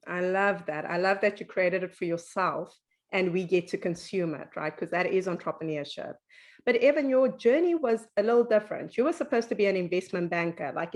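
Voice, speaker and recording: A woman hosting an interview who responds to her guest warmly and affirmingly. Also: mid-range voice. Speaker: interview host. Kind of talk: casual interview talk.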